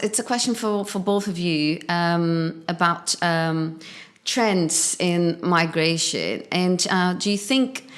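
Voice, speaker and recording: A woman hosting an interview, a native Portuguese speaker who pauses often with ums while finding her words. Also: mid-range voice. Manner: hesitant, many ums while searching for words. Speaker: interview host. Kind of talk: video call interview.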